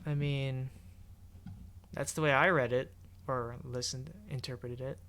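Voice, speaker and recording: A man's voice: mid-range voice, steady tone, measured pace, background noise. A man chatting casually on a livestream in an informal, slangy way as he reacts to what he reads. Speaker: game streamer. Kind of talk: casual livestream chat reacting to forum posts. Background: background music.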